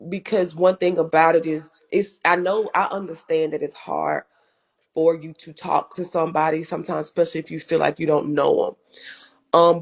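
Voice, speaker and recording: A woman with a strong voice giving earnest advice in a casual chat, repeating her points for emphasis. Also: deep voice. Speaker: guest in livestream chat.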